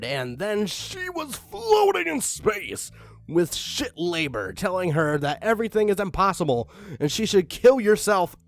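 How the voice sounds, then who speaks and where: Mocking man reading a fanfic aloud. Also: high voice. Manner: mocking, deadpan asides, reads punctuation aloud. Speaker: reader of online stories. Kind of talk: comedic dramatic reading with commentary.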